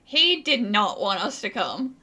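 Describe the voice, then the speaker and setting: A woman giving casual let's-play commentary, animated and opinionated as she reacts on behalf of the characters. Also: medium pitch, measured pace, husky. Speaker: gaming streamer.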